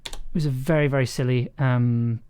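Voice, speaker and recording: A person casually talking through audio editing work on a livestream, halting and trailing off while thinking aloud. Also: mid-range voice, fairly even intonation, mellow, clear, resonant tone. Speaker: audio drama maker streaming the editing process.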